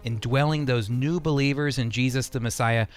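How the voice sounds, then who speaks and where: A man's voice: mid-range voice, steady tone, moderate pace, clean tone. A man hosting a radio program, delivering scripted Bible teaching and commentary.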